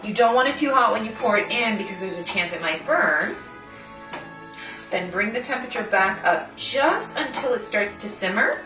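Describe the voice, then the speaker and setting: A woman presents a cooking demonstration, walking through each step like an instructor. Her voice sits at a medium pitch. It is picked up from a distance in an echoey room, with music and kitchen sounds behind her. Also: lively intonation, normal speed, bright, forceful tone. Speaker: food designer and cooking host. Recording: roomy echo, distant mic, music behind.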